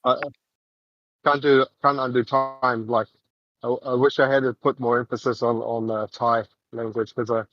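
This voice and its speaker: A man from New Zealand with a New Zealand accent, chatting casually on a voice call while walking outdoors, halting and full of fillers. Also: mid-range voice, little pitch movement, lo-fi sound. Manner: rambling, halting, many fillers.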